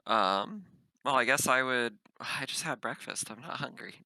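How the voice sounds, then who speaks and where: A man's voice: mid-range voice, lively intonation, moderate pace, sharp tone, no room sound. A man chatting casually as a player in a tabletop roleplaying game.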